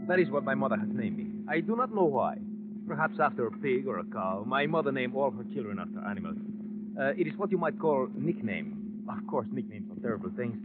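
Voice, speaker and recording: Male actor as cheeky chauffeur, broken English, quick, animated, vintage radio drama, muffled sound. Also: medium pitch, close to an everyday voice. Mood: playful, chatty. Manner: chatty, playful, broken English. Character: cheeky boastful Brazilian driver, self-styled poet philosopher. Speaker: driver. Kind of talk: 1950s radio acting. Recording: muffled noisy old radio, vehicle hum.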